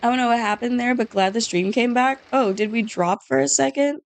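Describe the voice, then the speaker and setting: A woman's voice: on the high side, a little fast, gravelly. A woman streaming a game, chatting casually and joking about how silly her reading voices sound. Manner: self-mocking, chatty, laughs at her own accents. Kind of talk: casual live-stream chat while gaming.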